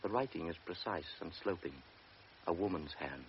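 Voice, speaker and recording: A man narrating a radio drama in a measured, even storytelling manner. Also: low voice. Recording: dull old radio broadcast, faint hiss.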